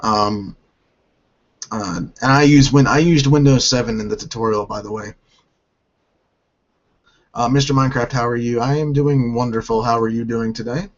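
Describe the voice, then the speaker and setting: A hoarse man from North Carolina hosting a gaming channel, answering viewer questions in a halting way and often restarting his sentences. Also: mid-range voice, lo-fi sound. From North Carolina, United States.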